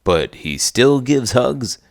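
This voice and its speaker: Guy doing a cheeky talking horse for kids storytime, super gravelly n slow, really hammy. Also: medium pitch, big pitch swings, a little mellow, dry recording, clean studio sound, voice pushed up, exaggerated voice. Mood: playful, teasing. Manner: theatrical, exaggerated. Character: Tango, a talking male horse, cheeky, curious storybook sidekick. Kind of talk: read-aloud children's storytelling with banter.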